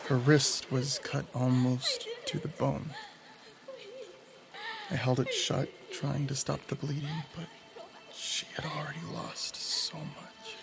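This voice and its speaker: An actor playing a weary, earnest man in an audio drama, speaking in a halting, pensive, hushed way. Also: mid-range voice, very animated, creaky, heavily acted. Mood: somber, haunted. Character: Raphael, weary, earnest, haunted man, a first responder type. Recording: music under the voice. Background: music.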